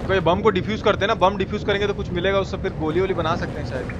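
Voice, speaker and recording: A man giving excitable, repetitive, slangy live game commentary as a streamer. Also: high-pitched, very fast, muffled recording. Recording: clear background noise.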